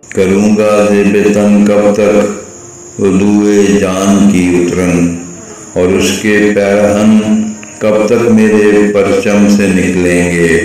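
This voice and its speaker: A man recites poetry as a poet, in a deliberate, lilting, measured way with pauses between phrases. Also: on the low side, dark tone. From Pakistan. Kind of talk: slow, measured Urdu ghazal recitation. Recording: heavy echo, distant voice, music behind. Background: music.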